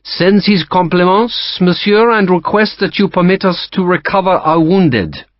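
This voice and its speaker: A man voicing a smooth, politely mocking young French officer in an audiobook. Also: high-pitched, measured pace, no room sound, highs cut off, voice pushed up. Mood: suave.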